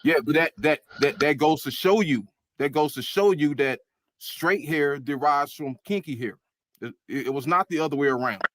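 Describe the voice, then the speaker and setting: A man's voice: a little high, normal speed, fairly bright tone, dry recording. A man in a panel debate, stammering and repeating words as he presses his point. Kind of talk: casual debate.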